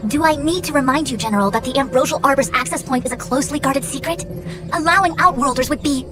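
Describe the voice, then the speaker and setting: An actress plays a haughty young woman diviner with a high voice, crisply formal and imperious. She speaks as a game character used to command and easily exasperated, over a clear music bed. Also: quick pace, close to an everyday voice. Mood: indignant. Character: haughty, brisk young female master diviner, authoritative and exasperated. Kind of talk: scripted video game voice acting.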